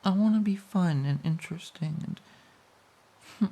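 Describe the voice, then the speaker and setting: Woman voicing a playful pouty girlfriend, romantic audio roleplay, mellow dark tone, breathy, slow, cooing, intimate. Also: on the low side. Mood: shyly insecure. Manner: soft, giggly, hesitant, cooing. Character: playful, pouty, affectionate girlfriend snuggling in bed.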